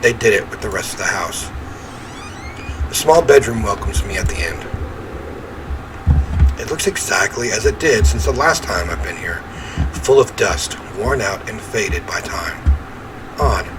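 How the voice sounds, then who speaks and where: Expressive man reading horror, ambient noise behind. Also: fairly low pitch, measured pace, pauses often. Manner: expressive, theatrical delivery. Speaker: game player reading aloud. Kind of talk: dramatic read-aloud of first-person horror story.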